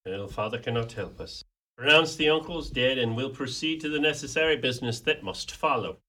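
An actor playing a scheming, impatient Irish nephew in a radio play, with a husky voice and a theatrical, protesting manner. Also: male voice, mid-range voice, animated, brisk, sharp tone. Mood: impatient, insistent.

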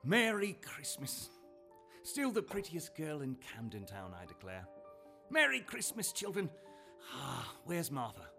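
An actor playing a poor, warm-hearted clerk and father who speaks affectionately in a radio play. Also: a little high, wildly swooping pitch, a little slow, vocal fry, exaggerated voice. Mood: cheerful. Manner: affectionate, teasing. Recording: radio play with music underneath.

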